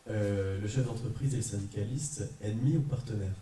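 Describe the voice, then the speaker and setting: A man's voice: on the low side, brisk, warm tone. A man moderating a conference, asking a question hesitantly with fillers. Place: large echoey parish hall. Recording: echoey hall, distant mic, faint noise.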